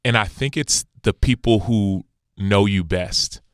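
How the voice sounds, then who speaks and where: A man's voice: fairly low pitch, a little slow, no echo, studio quality. A man in his thirties from Texas, a former pro football player, answering interview questions earnestly and repeating key phrases for emphasis. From United States, Texas.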